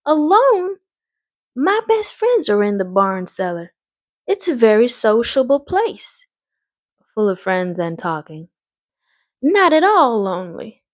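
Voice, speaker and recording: A woman's voice: fairly high pitch, sing-song, slow, pauses often, no room sound, clean studio sound, pitched above the performer's own voice. A woman voicing an eager, defiant little farm girl in a storybook, speaking with insistence. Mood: indignant. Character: defiant, sociable little farm girl who loves animals.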